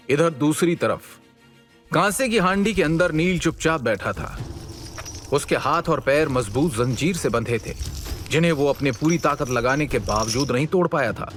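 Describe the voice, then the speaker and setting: High-voiced man narrating a dramatic story. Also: fairly bright tone.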